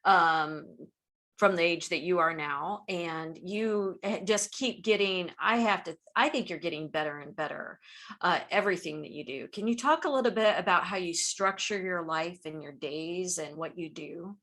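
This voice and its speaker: A woman in her fifties hosts a podcast interview. Her voice sits somewhat low as she introduces topics and puts questions to her guest. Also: normal speed, a bit bright. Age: 50s. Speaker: podcast host.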